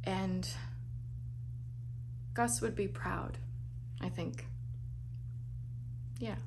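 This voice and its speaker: A woman from Las Vegas, a salon owner, telling her own story in a frank, salty, animated way. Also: mid-range voice, drawn-out words, vocal fry, background noise. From Las Vegas, United States. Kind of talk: candid personal storytelling.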